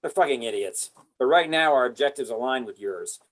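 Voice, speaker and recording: A man's voice: mid-range voice, lively intonation, a little fast, natural voice, low-quality recording. A man playing an earnest, exasperated military officer in a script read-through.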